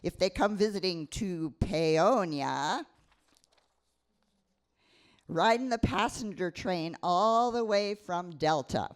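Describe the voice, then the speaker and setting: A woman's voice: fairly low pitch, animated, slow, long pauses. A woman voicing a bossy, bookish nine-year-old girl who narrates a story at a live reading. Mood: matter-of-fact storytelling.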